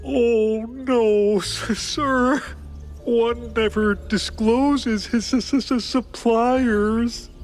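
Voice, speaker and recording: Very high, stammering, timid circus performer. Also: male voice, steady tone, faint music behind, full character voice. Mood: anxious stammering. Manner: nervous stammer, polite, halting. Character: timid, jittery male cartoon circus high-wire performer. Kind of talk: cartoon game voice acting.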